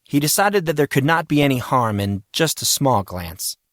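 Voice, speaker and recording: A man narrating scripted fiction in a measured, expressive storytelling manner. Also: mid-range voice, no room sound, clean studio sound. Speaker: audiobook narrator.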